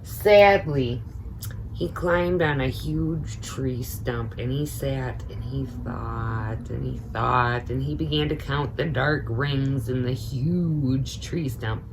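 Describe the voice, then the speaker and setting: A middle-aged woman reading a story aloud to children in an expressive, motherly way, with chatty asides. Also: rumbling low, slow pace, background noise.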